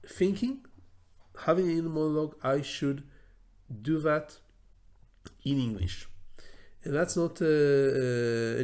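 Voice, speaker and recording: A man's voice: medium pitch, a little mellow. Thoughtful man, native Hebrew speaker. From Israel. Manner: thoughtful, many fillers and restarts, slow deliberate phrasing. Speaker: law professor teaching English learning tips. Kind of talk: solo educational talk to camera.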